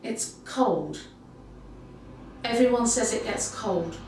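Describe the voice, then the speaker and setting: A woman's voice: on the high side, lively intonation, takes it slowly, darkish tone. A woman playing a guarded pub worker who tells local ghost tales in hesitant, clipped answers, as in a recorded interview. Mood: uneasy, matter-of-fact. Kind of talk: naturalistic audio drama interview. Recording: echoey room, distant mic, faint noise.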